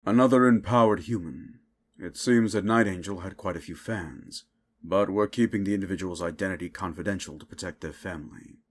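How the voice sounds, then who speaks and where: Man doing a dignified superhero boss in an audio drama interview, lowish voice, grave n measured. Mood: grave, formal. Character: dignified, solemn male superhero guild leader.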